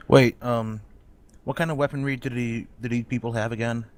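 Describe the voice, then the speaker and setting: A man's voice: mid-range voice, fairly even intonation, moderate pace. A man talking casually as a player in a role-play game, hesitant and thinking aloud.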